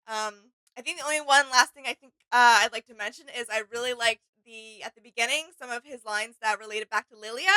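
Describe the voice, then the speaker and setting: Woman, bright crisp-edged voice, fairly high, dry sound, casual musing co-host. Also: a little fast, hardly any pauses, no room sound, low-quality recording. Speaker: reaction channel co-host. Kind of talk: casual chat, discussing game character lore.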